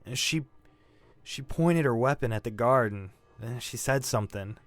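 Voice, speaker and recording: An actor playing a shaken young male robbery suspect in an audio drama, defensive and grieving, halting and stammering as he talks. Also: medium pitch, no room sound, close to an everyday voice. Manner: halting, stammering, repeating himself. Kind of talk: naturalistic audio drama acting.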